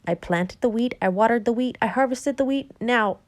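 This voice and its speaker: Fussy storybook hen, put on by a woman reading aloud, shrill and high, complaining loudly, dry close sound. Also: normal speed, no room sound, close to an everyday voice. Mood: indignant. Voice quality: shrill, indignant.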